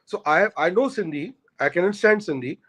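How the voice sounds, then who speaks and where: A man's voice: high voice, expressive, fairly quick, a bit bright, breathy edge. A man, an Urdu speaker, arguing his points emphatically in a conversational discussion. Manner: emphatic, argumentative, repeats points. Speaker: guest.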